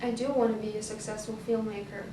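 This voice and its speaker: A woman's voice: mid-range voice, level tone, fast. A woman from Russia with a Russian accent, a hypnotist answering interview questions thoughtfully with hesitant fillers. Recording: echoey room, far from the mic, noisy.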